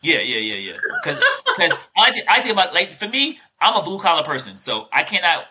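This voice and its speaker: A man's voice: on the high side, wildly swooping pitch, a little fast, sharp tone, a little hiss or hum. A man co-hosting a podcast, chatting casually and teasing his guest with jokes. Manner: joking, teasing, playful. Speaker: podcast co-host.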